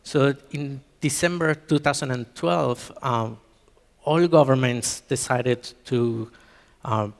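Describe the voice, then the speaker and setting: A man from Mexico speaks at a conference in a mid-pitched voice, pausing often with ums and restarting his sentences. The sound carries a long reverb from the hall around him. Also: creaky. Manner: hesitant, many ums and restarts. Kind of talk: conference talk.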